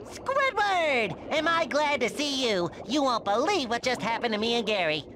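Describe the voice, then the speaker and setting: A man voicing a childlike, eager, naive sea sponge in a cartoon video game, squeaky, nasal and excitable. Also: moderate pace, background noise. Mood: excited. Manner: excitable, exclamatory, earnest.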